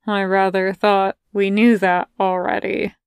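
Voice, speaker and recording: Lady reading as a tired sarcastic guy, low-key deadpan, slow and dry. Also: creaky, smooth, no echo. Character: tired, dry young man, quietly sardonic. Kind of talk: podfic character voice.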